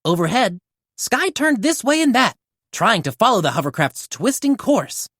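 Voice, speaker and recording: A woman narrating a children's storybook aloud in an animated read-aloud style. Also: on the high side, normal speed, no echo, studio quality. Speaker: storybook reader.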